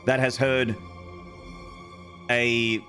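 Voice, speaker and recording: A man's voice: medium pitch, flat delivery, extremely slow, fairly bright tone, soft background music. An Australian man streaming a game, chatting to viewers in a joking, rambling way. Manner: joking, rambling, playfully flirty with chat. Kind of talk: casual live-stream chatter while gaming.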